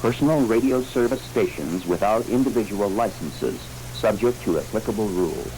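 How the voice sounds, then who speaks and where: A man delivers a scripted alert announcement, reading formally in a solemn, measured bulletin manner. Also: on the low side, lively intonation, warm tone, low-quality recording. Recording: voice recording with clear humming noise.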